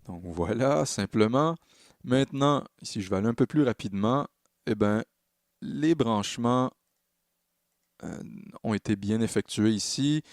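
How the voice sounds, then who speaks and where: A man narrating a software tutorial as a music production tutor, explaining in a halting way and correcting himself as he goes. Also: mid-range voice, no room sound, clean studio sound. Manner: hesitant, halting phrasing with self-corrections.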